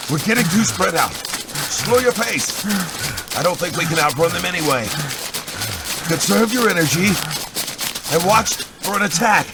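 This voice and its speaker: A man's voice: high-pitched, lively intonation, normal speed, long pauses. A man playing a commanding, protective older fighter in a fantasy audio drama, giving terse orders. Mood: urgent warning. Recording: clear background noise of outdoor ambience.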